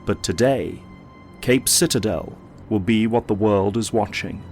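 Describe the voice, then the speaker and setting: Casting: male audio drama narrator, low pitch, solemn and theatrical scripted delivery, music underneath. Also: a little slow, a little mellow.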